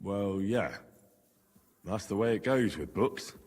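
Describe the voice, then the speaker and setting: An actor playing a plain-spoken, eager young medieval man-at-arms in a video game, husky, earnest and gallant. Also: on the low side, lively intonation, slow, a little mellow, big-room sound, heavily acted. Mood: mildly affronted. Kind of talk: scripted game dialogue.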